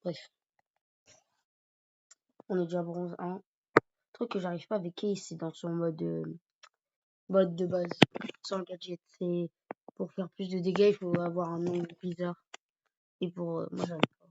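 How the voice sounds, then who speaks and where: A man's voice: sits high, flat delivery, a little slow, creaky, long pauses, very noisy. A man with a cold and a sore throat, rambling hesitantly through casual commentary over his gameplay. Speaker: gaming YouTuber. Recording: dull lo-fi recording.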